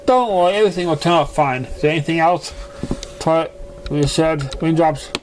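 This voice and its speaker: A man reading a story aloud as an amateur narrator, stumbling and halting over the words. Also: fairly high pitch. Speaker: amateur fan fiction reader. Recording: noticeable background noise, amateur recording.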